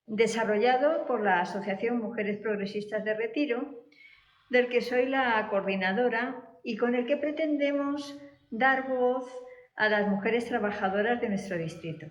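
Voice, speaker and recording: A woman's voice: a little high, unhurried. A woman, coordinating an interview project and introducing the guest in a formal, read-out tone before asking questions. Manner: reads a formal introduction, brief backchannels. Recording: echoey room, dull sound.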